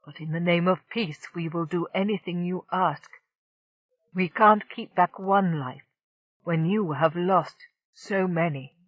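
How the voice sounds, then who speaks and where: A woman voicing a dignified winged alien father who speaks in grave, measured tones. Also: on the low side, no echo. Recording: dull lo-fi dry recording.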